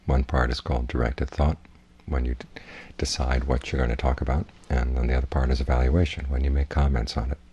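A man with a husky voice giving a guided meditation talk as a Buddhist teacher, in measured, plainspoken instruction. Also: extremely low pitch, level tone, dark tone, dull audio.

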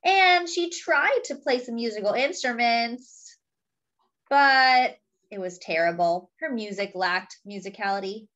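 A woman reading a picture book aloud to young children and commenting on the pictures, expressively and with pauses for emphasis. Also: a little high, fairly bright tone, dull audio. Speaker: children's storybook reader.

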